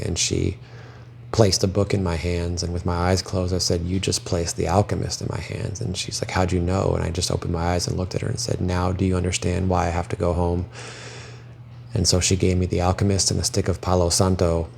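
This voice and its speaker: A man's voice: deep voice, creaky, a little mellow, a little hiss or hum. A young man raised in Belgium with French as his first language, earnestly telling his own life story. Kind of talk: personal testimony.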